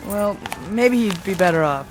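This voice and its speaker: An actor playing a glum street newspaper vendor with drawn-out hawking cries and dour remarks in a radio drama. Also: male voice, high voice, lively intonation, slight background noise.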